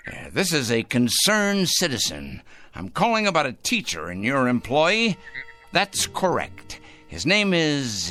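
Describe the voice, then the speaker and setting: A sharp, forceful voice for a fanatical, self-righteous busybody in a radio drama. He is prim and formal, measuring his words slowly and leaning on them with insistence. His intonation leaps dramatically up and down. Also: fairly high pitch, heavily acted. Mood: officious, secretive. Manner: prim, emphatic, formal, halting for effect. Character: fussy, self-righteous fanatical male crank denouncing strangers.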